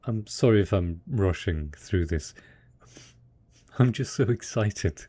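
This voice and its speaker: A man playing a devoted, tender husband in a post-apocalyptic audio drama, speaking in a gentle, coaxing, husky voice with plenty of endearments. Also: fairly low pitch, lively intonation, slow, heavily acted. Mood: excited, encouraging. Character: devoted, tender, hopeful husband caring for ghoul spouse after apocalypse. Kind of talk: roleplay audio drama, intimate monologue addressed to listener.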